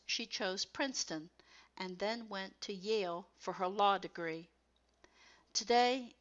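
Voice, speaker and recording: A woman narrates educational material from a script at a middle pitch. She reads in a measured manner with careful enunciation, like a textbook narrator. The recording is a little dull and lo-fi. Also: a bit bright.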